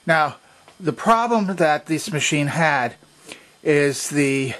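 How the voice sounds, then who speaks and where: A man's voice: mid-range voice, fairly bright tone. A man presenting a hands-on repair demonstration, explaining methodically and pointing things out step by step.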